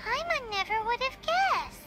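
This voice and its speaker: Tiny chirpy fairy sidekick for a game: squeaky, childlike, excitable, swooping intonation. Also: female voice, unhurried, exaggerated voice. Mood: surprised. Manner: excitable, refers to herself in third person. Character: tiny childlike floating fairy girl companion, chirpy and curious. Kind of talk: video game voice acting. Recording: music behind the voice. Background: game music.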